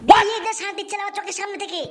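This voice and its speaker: A woman's voice: very high-pitched, steady tone, rapid-fire, slight background noise. A woman voicing a furious grandmother in a comic cartoon, scolding her cheating husband with crude threats. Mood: outraged.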